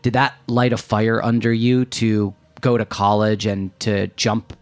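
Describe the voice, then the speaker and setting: A man's voice: lowish voice, fairly even intonation, a little slow. A man hosting a food podcast, asking curious, rambling questions with restarts. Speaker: podcast host. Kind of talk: interview questions.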